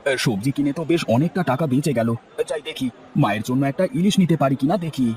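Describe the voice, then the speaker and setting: A man's voice: mid-range voice, expressive, quick pace, a little mellow, a faint crowd, a little hiss or hum, natural voice. A man playing a poor, put-upon grown son who sells street snacks, grumbling and pleading in a cartoon. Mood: brisk. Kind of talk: cartoon voice acting. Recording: faint music bed behind.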